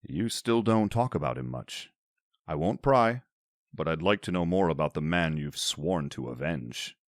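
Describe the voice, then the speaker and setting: An actor playing a devoted, protective military officer in a space audio drama. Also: male voice, low voice, lively intonation, measured pace, no echo, studio quality. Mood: tender.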